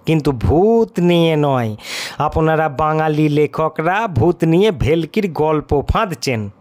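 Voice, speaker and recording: A man's voice: a little high, expressive, slow pace, a little mellow, no echo. An actor playing an irascible, scornful male stranger in an audio story, scolding sarcastically. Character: irascible cigar-smoking stranger, scornful critic of ghost stories. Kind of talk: audio horror-comedy story acting.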